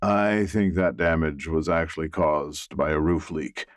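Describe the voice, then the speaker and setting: Audiobook role: awkward, good-natured middle-aged man read by a man, deep register, slow and sheepish. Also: steady tone, no echo, clean studio sound. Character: Bernie, amiable, awkward male realtor, middle-aged.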